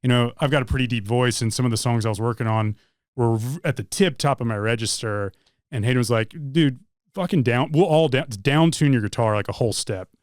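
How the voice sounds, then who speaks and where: A man's voice: medium pitch, brisk, no echo, studio quality. A man in a band, talking casually in an interview and giving long, rambling explanations full of restarts. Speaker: band member.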